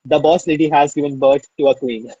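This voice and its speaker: A man hosts a live interview over a video call, gushing with enthusiasm and leaning on fillers. His voice is a bit husky, and the sound is dry and slightly dull. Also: fairly high pitch, fairly even intonation, brisk, mellow, no echo. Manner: enthusiastic, repeats "amazing", filler-heavy. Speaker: interview host.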